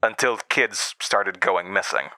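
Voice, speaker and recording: Horror audio drama lead: wry male storyteller recalling boyhood, lowish pitch, crisp edgy tone. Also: unhurried, pushed and bright, a little hiss or hum, heavily acted. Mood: wry, foreboding. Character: adult man recalling uneasy boyhood horror at camp. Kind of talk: scripted first-person horror drama narration.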